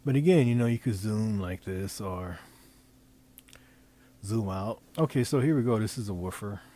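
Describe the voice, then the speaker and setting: A man talking through an informal software demonstration, improvising in a halting way as he thinks aloud and repeats phrases. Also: fairly low pitch, animated. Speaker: presenter demonstrating design software. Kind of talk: informal screen-recording tutorial, improvised.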